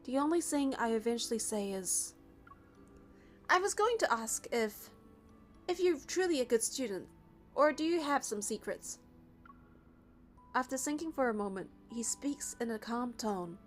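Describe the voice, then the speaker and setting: High-voiced woman narrating a story game. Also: animated, unhurried, long pauses, soft background music. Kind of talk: first-person story narration, reading dialogue.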